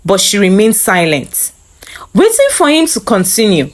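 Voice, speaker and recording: A woman's voice: mid-range voice, wildly swooping pitch, normal speed. A woman reading a scripted dramatic story as narrator.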